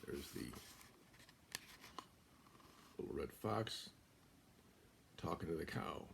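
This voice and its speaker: Gravelly older American man reading a storybook. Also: low-pitched, lively intonation, slow pace, background noise. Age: older, about 70s. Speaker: guest storyteller. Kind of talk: reading a children's picture book aloud. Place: small room at home, indoors.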